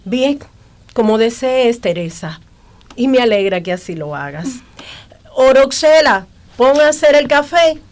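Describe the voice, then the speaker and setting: A woman's voice: a little high, expressive, a little slow. An actress playing a devout, dignified older aunt and mother in a radio drama, with formal matriarchal speech.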